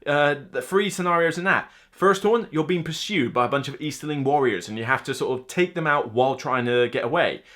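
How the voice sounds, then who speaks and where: A man's voice: fairly high pitch, fairly quick, few pauses, a bit bright. A man in his thirties talking to camera as a board game reviewer, casual and enthusiastic, rambling with emphatic delivery. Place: indoor room lined with game shelves.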